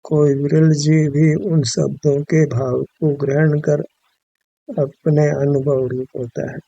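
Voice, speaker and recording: A man leading a guided meditation with spiritual teaching, speaking in measured, formal, instructive phrases. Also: a little high, little pitch movement, creaky, dark tone, dry recording, dull audio.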